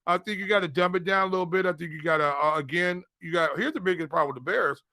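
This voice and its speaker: A man with a bright, slightly high voice chats casually as a sports podcast co-host. He is folksy and joking, often doubling up words as he gets going. The recording is close and very dry. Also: quick pace, no room sound. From Indiana, United States. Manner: joking, folksy, stammering repeats. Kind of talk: casual fantasy football chat.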